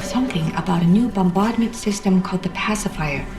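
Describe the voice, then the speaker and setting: A woman's voice: medium pitch, a little fast, distant mic, background noise, lightly acted. An actress playing an angry young psychic woman in a video game, with a cold, defiant, brooding manner. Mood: cool, resentful. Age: young adult. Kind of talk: scripted video game voice acting. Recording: game audio under prominent music.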